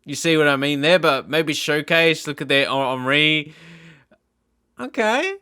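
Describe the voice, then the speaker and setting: A man's voice: a little high, animated, a little slow, sharp tone, smooth, no room sound, clean studio sound. A man giving casual reaction commentary as a gaming YouTuber, thinking aloud in a hesitant, rambling way. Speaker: video game YouTuber.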